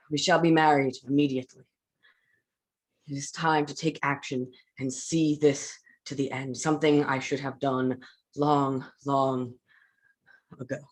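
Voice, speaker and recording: An actor playing an anguished, guilt-ridden male scientist in a dramatic staged reading, grave and deliberate with a raspy voice. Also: mid-range voice, lightly acted. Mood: grim resolve.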